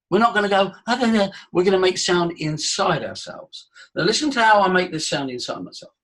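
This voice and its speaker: Male voice coach, husky tone, fairly high-pitched, demonstrative and breathy. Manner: breathy, demonstrative, leads vocal exercises. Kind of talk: live workshop teaching.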